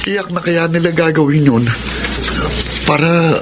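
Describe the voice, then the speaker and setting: An actor playing an ardent, impulsive young boyfriend in a Filipino radio drama. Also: male voice, fairly high pitch, steady tone, measured pace, background noise, close to an everyday voice. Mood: concerned.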